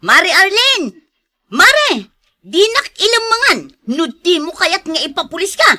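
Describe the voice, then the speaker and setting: A woman's voice: very high voice, sing-song, measured pace, sharp tone, full character voice. A woman acting a bossy, merciless moneylender and nagging wife in a radio drama, with a scolding, demanding manner. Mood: angry, threatening.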